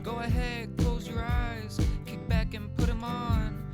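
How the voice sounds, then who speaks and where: A woman's voice: fairly high pitch, level tone, measured pace. A woman performing a sung jingle as the outro of a video. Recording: music playing behind the voice.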